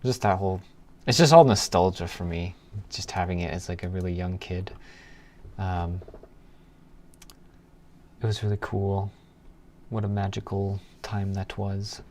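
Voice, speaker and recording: A man's voice: low-pitched, fairly even intonation, measured pace, long pauses, darkish tone. A man in a casual coding livestream, thinking aloud and muttering to himself as he trails off, with keyboard typing. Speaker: live programming streamer. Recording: clear background noise, keyboard typing.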